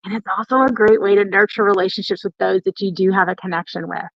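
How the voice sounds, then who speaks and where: A woman's voice: mid-range voice, fairly even intonation, fast talker, gravelly, dry recording, dull audio. A woman hosting a live stream, coaching viewers in an emphatic, encouraging, instructive way and addressing them directly. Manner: emphatic, repeats phrases for stress, addresses listeners directly. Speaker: host of a networking community's live stream.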